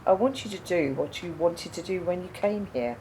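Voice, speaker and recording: Actress as a low-voiced, haunted, pensive woman. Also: dark tone, slight background noise, lightly acted, low-quality recording. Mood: pensive, sad. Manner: reflective, halting. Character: quiet, haunted, pensive woman burdened by doubt. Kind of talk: radio play dialogue, naturalistic.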